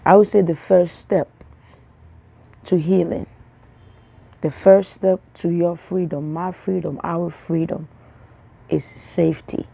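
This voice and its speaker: A young woman from Africa speaks to her followers as a spiritual healer, in a low, gravelly, mellow voice. She rambles and repeats words. She often sinks into hushed, soft tones, and she talks close to the camera. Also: fairly even intonation, slow pace, long pauses. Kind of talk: casual spiritual talk to camera.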